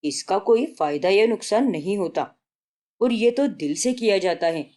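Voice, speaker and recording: A woman voicing an elderly grandmother in a family story, patient and principled, gently admonishing as she moralizes. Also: mid-range voice, lively intonation, unhurried, lightly acted.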